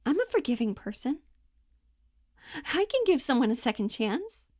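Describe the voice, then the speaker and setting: A woman's voice: sits high, sing-song, moderate pace, a little mellow, pitched above the performer's own voice. A woman performing a comedic stage monologue as a fed-up, guilt-tripping woman scolding her boyfriend, given to outbursts. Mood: restrained, wounded.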